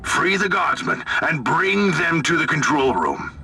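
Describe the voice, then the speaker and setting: An actor playing a zealous, battle-hungry military commander in a video game, bombastic and brusque. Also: male voice, a little high, sing-song, unhurried, poor audio. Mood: grimly jubilant. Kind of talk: dramatic video game voice acting.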